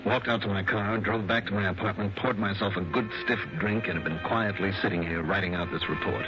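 An actor playing a sober, sympathetic investigator, narrating a 1960s radio detective drama in a measured, deliberate way. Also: male voice, lowish voice, fairly even intonation, over music, close to an everyday voice. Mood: resigned. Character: sober, sympathetic male investigator recounting his case. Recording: dull old radio broadcast recording.

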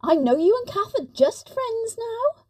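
Woman acting high-voiced stressed mother, exasperated. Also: sing-song, unhurried, warm tone, heavily acted. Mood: defensive, exasperated. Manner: exasperated, defensive. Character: Tim's stressed, grieving, accusing mother in a marital row. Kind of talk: audio story acting.

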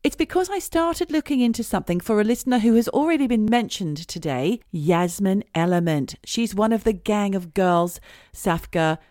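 A woman's voice: mid-range voice, expressive, moderate pace, darkish tone, no echo, clean studio sound. A middle-aged woman co-hosting a casual comedy podcast, telling stories in a chatty way. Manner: chatty storyteller, sings snatches of songs. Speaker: podcast co-host.

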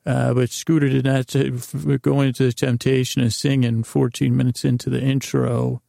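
A man hosting a bedtime podcast in a creaky, soft-edged drawl, rambling along in a halting, silly, meandering way and often interrupting himself. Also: mid-range voice, flat delivery, no echo, clean studio sound. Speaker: podcast host.

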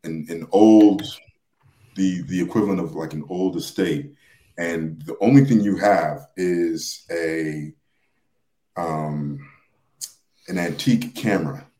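A man explaining things in a casual podcast discussion, hesitant and halting, often repeating his phrases. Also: deep voice, dark tone, reverberant.

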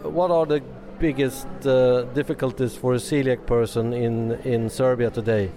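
A man's voice: mid-range voice, fairly even intonation, moderate pace, background noise. A man working as an interviewer, asking questions in a plain interview style.

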